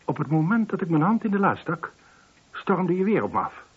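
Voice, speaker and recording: A husky older man of means in a radio drama, formal and curt. His pitch moves widely while he stays composed, and the recording is dull and lo-fi. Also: very animated, fairly quick, exaggerated voice. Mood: tense recounting. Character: wealthy older householder reporting a shooting, curt and composed. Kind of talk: radio play acting.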